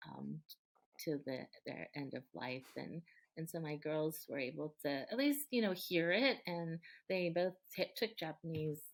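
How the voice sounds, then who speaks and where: Soft-pitched woman, earnest and chatty. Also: normal speed. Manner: earnest and warm, many ums and restarts, frequent light laughs. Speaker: guest, local politician. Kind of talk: relaxed conversational interview.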